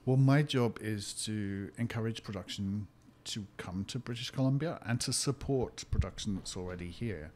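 A Welsh man with a fairly low voice explains his work steadily and at length, as an interview guest. A faint hiss of noise sits behind him in a small room. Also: normal speed, a little mellow. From Wales. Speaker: location consultant. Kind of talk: interview answers.

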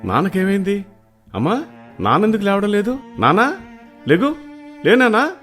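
A man playing a poor, devoted young village son in an animated moral story, earnest and pleading. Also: sits high, moderate pace, faint music behind. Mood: panicked grief.

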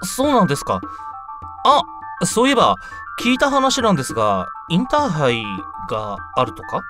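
A man plays a timid teenage newcomer in an audio drama. He sounds bewildered and hesitant, stammering with wide swings of pitch over background music. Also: wildly swooping pitch, moderate pace. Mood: polite, unsure. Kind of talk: amateur voice drama acting. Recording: music under the voice.

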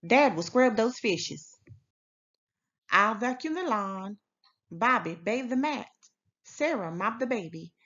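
A frazzled parent played by a woman gives orders in a children's storybook. The voice is fairly high and very animated, with big emphatic swoops. Each command comes out slowly and deliberately. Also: muffled recording. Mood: exasperated, commanding. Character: frazzled, bossy parent rallying the family to clean. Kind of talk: children's storybook read-aloud.

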